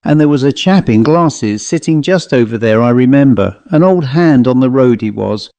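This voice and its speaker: A man's voice: medium pitch, animated, moderate pace, dark tone, no room sound, close to an everyday voice. A man reading in character as a chatty, genial commercial traveller telling an anecdote in colloquial style for an audiobook ghost story. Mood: amiable, confiding. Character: dapper commercial traveller, chatty male raconteur of ghost stories.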